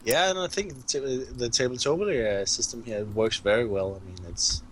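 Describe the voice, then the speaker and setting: A man from Denmark with a Danish accent, a game designer chatting casually and haltingly while playing a board game. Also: mid-range voice, a little hiss or hum, low-quality recording.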